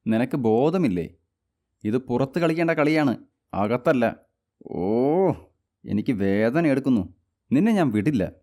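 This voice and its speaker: A gruff stepfather. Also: medium pitch, expressive, unhurried, long pauses. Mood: angry. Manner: gruff, scolding, later softly remorseful. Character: harsh short-tempered stepfather, adult man.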